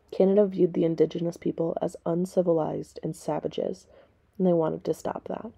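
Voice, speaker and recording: Very creaky, low-pitched woman narrator. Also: dark tone. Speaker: storybook reader and history presenter. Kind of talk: read-aloud and scripted educational narration.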